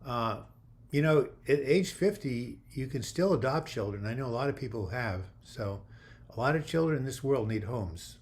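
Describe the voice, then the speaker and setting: An older man hosting a live video chat, reading viewer comments aloud and replying in a friendly, halting way with frequent restarts. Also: mid-range voice, animated, a little mellow. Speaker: livestream host answering viewer comments.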